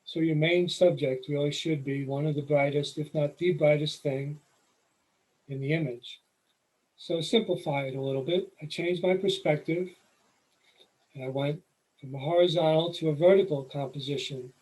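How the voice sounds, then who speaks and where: Middle-aged New York man, slightly high voice, calm explanatory presenter for a photo talk. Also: moderate pace, poor audio. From New York City. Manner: relaxed, explanatory, with occasional fillers. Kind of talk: slide presentation lecture.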